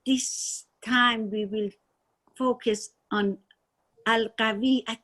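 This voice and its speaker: A woman with a Persian accent who shares a personal story in a halting, earnest way, with many restarts, as a class participant. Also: fairly high pitch, fairly even intonation, fairly bright tone. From Iran. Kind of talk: personal testimony.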